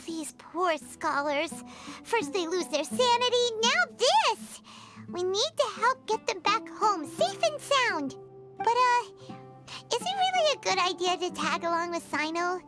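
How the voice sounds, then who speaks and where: A woman voicing a tiny, excitable, childlike fairy companion in a video game, with a squeaky, chirpy delivery. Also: unhurried, over music, heavily acted. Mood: worried. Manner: chirpy, refers to herself by name. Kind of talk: scripted video game voice acting.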